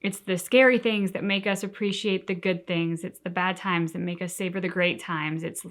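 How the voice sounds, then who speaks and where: A woman's voice: lowish voice, fairly even intonation, moderate pace, fairly bright tone. A woman co-hosting a reaction show, talking casually and reflectively in an earnest, thinking-aloud manner.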